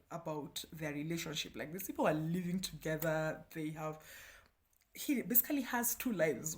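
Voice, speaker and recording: Female book vlogger, Kenyan accent, Kikuyu first language, slightly low voice, chatty and self-deprecating. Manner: chatty, slangy, self-deprecating, breaking often into laughter. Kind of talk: casual chat book review.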